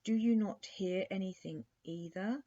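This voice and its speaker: Woman reading as a courteous, wistful storybook prince, mellow. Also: mid-range voice, unhurried, voice pushed up, close to an everyday voice. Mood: explanatory. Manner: polite, plaintive. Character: courteous, wistful young fairy-tale prince. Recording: dull, muffled lo-fi recording.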